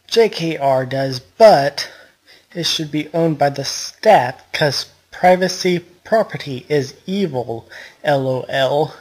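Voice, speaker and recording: A woman's voice: deep voice, takes it slowly, a little mellow, husky. A woman reading scripted author's notes in an amateur group reading.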